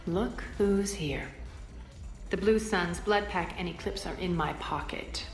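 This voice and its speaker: A woman playing a haughty alien crime boss in a video game, sardonic, deliberate and commanding. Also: on the low side. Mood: smug, commanding. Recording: slightly roomy, music behind.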